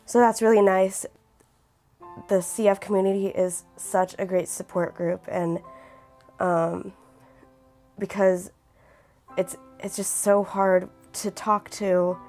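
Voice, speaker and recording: An eighteen-year-old woman with a raspy voice who keeps clearing her throat, speaking earnestly and reflectively in a documentary interview. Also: mid-range voice, steady tone, takes it slowly, long pauses, darkish tone, soft background music. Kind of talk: personal documentary testimony.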